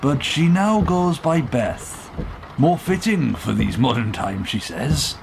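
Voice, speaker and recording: A man's voice: mid-range voice, very animated, slow, gravelly, full character voice. A man playing a weary, guarded, protective Welsh father in a radio play, speaking politely and hesitantly in an old-fashioned way. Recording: noisy vehicle ambience behind voice.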